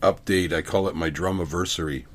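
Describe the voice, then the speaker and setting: A man in his sixties with a low-pitched voice works as the host of an informal interview. He talks casually and encouragingly, readily agreeing with his guests. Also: steady tone, a little fast. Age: 60s. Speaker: interview host, amateur drummer.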